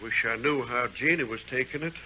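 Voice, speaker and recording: A man's voice: medium pitch, fairly quick, a little hiss or hum, natural voice. An actor playing an anguished, proud frontier man accused of a crime, plain-spoken and earnest, in a muffled old radio western. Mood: sad, subdued. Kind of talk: old-time radio acting.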